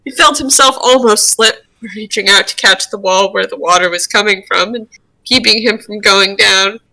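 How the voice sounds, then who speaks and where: A woman's voice: mid-range voice, moderate pace, gravelly, sharp tone, poor audio. A woman reading a roleplay story aloud as narrator. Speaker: roleplay reader.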